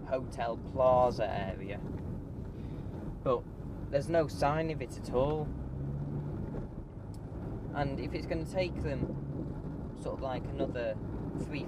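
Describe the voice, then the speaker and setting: A man giving a casual, rambling driving tour from inside his car, with many ums and restarts. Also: medium pitch. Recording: loud car engine and road noise.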